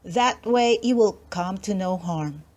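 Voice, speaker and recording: A woman's voice: medium pitch, slow pace, a little mellow, close to an everyday voice. A woman voicing a caring mother who gives her daughter careful instructions in a storybook reading.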